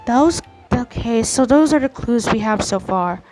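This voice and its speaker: Female game streamer, slightly high pitch, stumbling read-aloud of on-screen dialogue, light music underneath. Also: lively intonation, a little slow, a little mellow. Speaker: gameplay streamer. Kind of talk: reading game dialogue aloud during a playthrough.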